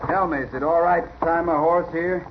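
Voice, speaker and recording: An actor playing a mild, modest American cowboy drifter in a radio western, plain-spoken and polite. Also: male voice, fairly high pitch, fairly even intonation, a little fast, close to an everyday voice. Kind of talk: 1950s radio western acting. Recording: muffled old radio recording, faint noise.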